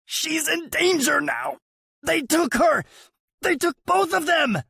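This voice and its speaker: A man's voice: very high-pitched, slow, vocal fry, bright, forceful tone, dry recording, clean studio sound, voice pushed up, heavily acted. A man voicing a guilt-ridden younger brother who pleads in a strained, choking voice for an audiobook. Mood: pleading.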